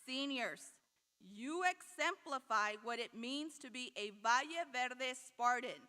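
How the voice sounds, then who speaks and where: A woman giving a formal commencement address as a school principal, in measured, deliberate short phrases. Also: high voice, sharp tone. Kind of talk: formal prepared ceremonial speech. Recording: echoing hall PA with long reverb.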